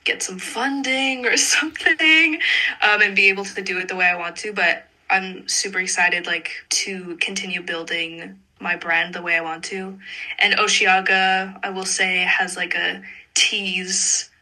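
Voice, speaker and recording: A young woman from Montreal speaks in a strikingly bright voice. She talks casually as an interview guest, in loose, chatty phrases full of "like". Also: mid-range voice, steady tone, measured pace, poor audio. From Montreal, Canada. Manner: chatty, informal, sprinkled with "like". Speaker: singer-songwriter interview guest.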